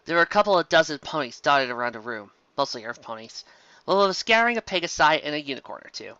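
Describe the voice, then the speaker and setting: A man reading fantasy fiction aloud as an amateur storyteller, expressive, occasionally stumbling over words. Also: fairly high pitch, fast talker, smooth, dry recording, dull audio.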